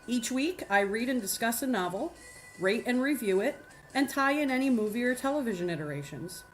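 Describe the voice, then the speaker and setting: Higher-voiced woman reading a podcast promo. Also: expressive, a little fast, faint music behind, low-quality recording. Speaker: podcast host.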